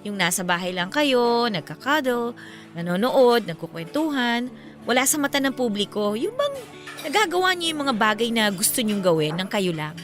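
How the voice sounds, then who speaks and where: A woman's voice: fairly high pitch, sing-song, normal speed, a bit bright, faint music behind. An actress playing a playful, affectionate young woman in a Filipino radio romance drama, with a teasing, conversational way of talking. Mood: sincere, curious.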